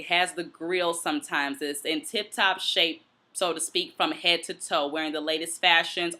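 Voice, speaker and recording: An American woman from Detroit giving reflective solo commentary as host, explaining deliberately and posing questions to the listener. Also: on the low side, pushed and bright. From Detroit, United States. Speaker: host of a commentary and book review show.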